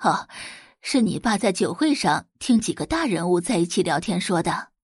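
A woman doing an excitable, husky, gossipy mother. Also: on the low side, normal speed, no echo, clean studio sound. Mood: confiding. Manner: coaxing, emphatic, exclamatory. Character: gossipy, excitable, pushy middle-aged mother. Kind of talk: audio drama acting.

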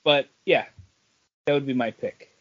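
A man's voice: medium pitch, measured pace, muffled recording. A man co-hosting a podcast, giving his opinions on comic books in casual chat.

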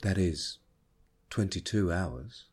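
A man giving a dramatic, measured reading of a poem in a husky voice. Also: deep voice, expressive, a little mellow. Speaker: poetry reader.

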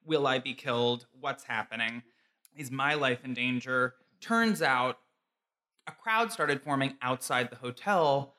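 A man with a bright, clear tone recounts a story in casual chat. He talks animatedly, laughs often and leans on "like" as filler. His pitch sits in a middle range. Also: measured pace. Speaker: podcast co-host.

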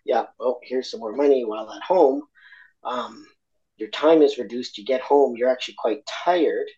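A man from Argentina speaking candidly as an interview guest, reflective and halting, with many fillers and restarts. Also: mid-range voice, dark tone, dull audio.

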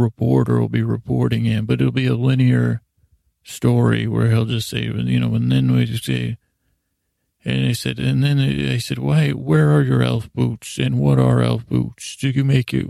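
A man hosting a bedtime-story podcast in a lulling, soothing manner, rambling and hesitant, repeating himself and trailing off in slow meanders. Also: medium pitch, flat delivery, warm tone, dry recording, studio quality. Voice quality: creaky, gravelly. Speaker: podcast host.

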